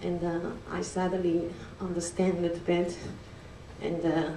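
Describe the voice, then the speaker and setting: A middle-aged woman, a native Chinese speaker with a Chinese accent, gives a nervous, halting testimony in English with many fillers and restarts. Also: fairly low pitch, level tone, creaky, background noise. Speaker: church member giving a testimony. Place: large church hall indoors. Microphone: handheld mic, picked up from a distance. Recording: echoey hall recorded from far off.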